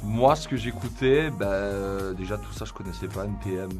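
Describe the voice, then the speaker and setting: A man's voice: on the low side, normal speed, darkish tone. Young man chatting casually over music. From Belgium, also Croatia. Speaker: interviewee in a couple's question game. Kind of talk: casual chat. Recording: music bed behind the voice.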